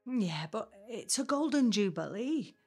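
A woman's voice: a little high, big pitch swings, measured pace, darkish tone, slightly rough, faint music behind, heavily acted. A woman playing a grumbling but affectionate working-class grandmother in her seventies, chatting naturally in an audio drama. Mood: chatty, fond. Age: 70s.

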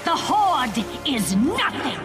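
An imperious fantasy villainess, theatrical and full of contempt. Her delivery is extremely slow with big pitch swings, over loud music in a game cinematic. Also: big pitch swings, exaggerated voice. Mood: defiant scorn. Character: scornful, imperious villainess warlord in a fantasy war. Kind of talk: dramatic game cinematic acting.

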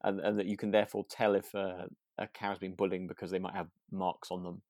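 A man co-hosting a podcast in relaxed, casual chat, feeling his way through thoughts with repeats and rephrasings. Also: deep voice, fairly quick, dry recording, clean studio sound. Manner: hesitant, repeats and rephrases himself. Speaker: podcast co-host. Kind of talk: relaxed casual chat about a radio drama.

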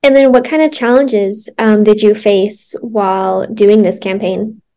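A woman with an average-pitched voice works as an interviewer. She puts her questions conversationally, a little tentatively, pausing as she forms them. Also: fairly even intonation, dark tone. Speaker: reporter, interviewer.

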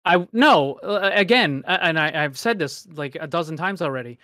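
Higher-pitched man debating, dry close sound. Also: lively intonation, normal speed, pushed and bright, dry recording. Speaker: discussion panelist. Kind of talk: casual debate.

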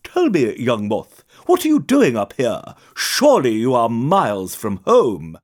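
Casting: grand, wise old owl for a storybook, commanding and expressive played by a woman. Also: very deep voice, unhurried, heavily acted. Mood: stern, indignant. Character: wise, grumpy, ruffled owl who turns kindly. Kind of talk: children's storybook character voice.

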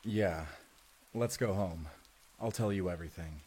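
An actor playing an earnest, secretive young time traveler in an audio drama, speaking sincerely and casually with hesitant pauses. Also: male voice, low voice, darkish tone, slightly rough. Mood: earnest, explanatory. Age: young adult. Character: earnest, secretive young man from the future named Benji. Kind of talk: immersive audio drama roleplay acting.

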